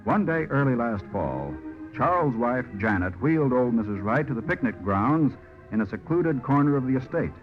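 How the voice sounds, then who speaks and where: A male announcer narrates in polished 1940s radio style. His mid-pitched voice moves with lively intonation over music, on a dull vintage recording. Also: normal speed.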